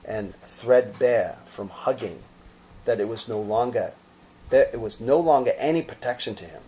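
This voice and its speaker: A man reading a children's story dramatically and expressively, lingering over short phrases with pauses. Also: fairly low pitch, a little mellow, a little hiss or hum, low-quality recording. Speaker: storybook narrator, actor.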